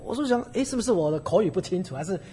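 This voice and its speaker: A man preaching in Chinese and animatedly telling a story, pausing for an interpreter. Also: high voice, slightly rough. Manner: animated storytelling, acting out dialogue. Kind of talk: sermon in Chinese with consecutive interpretation.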